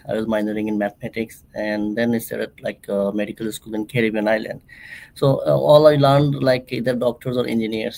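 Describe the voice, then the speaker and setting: Gravelly, flat-voiced man. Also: fairly low pitch, low-quality recording. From Bangladesh. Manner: halting, many fillers and restarts. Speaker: nonprofit founder, interview guest. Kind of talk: conversational interview storytelling.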